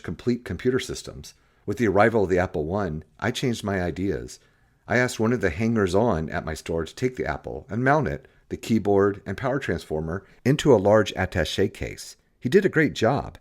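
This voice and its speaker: A man reading an audiobook as narrator, telling a story in an animated, scripted style with acted dialogue. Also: fairly low pitch.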